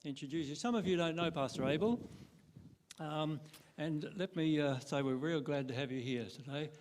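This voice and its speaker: A man's voice: on the high side, normal speed, a little mellow. An older man who hosts a church stage interview as local pastor, teasing and friendly, with frequent ums. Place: church stage before a congregation.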